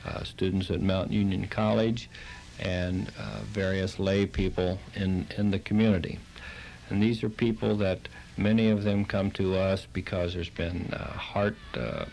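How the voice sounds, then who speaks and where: A man's voice: lowish voice, gravelly, a little mellow, low-quality recording. A man giving an explanatory radio interview as a representative of a local organization. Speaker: Red Cross chapter representative, interviewee. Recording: faint noise on old tape.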